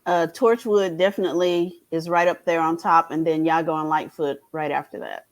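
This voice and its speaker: A woman speaks as a panelist in a casual fan conversation. Her voice is a little lower than average for a woman. The delivery is informal and chatty. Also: steady tone, normal speed.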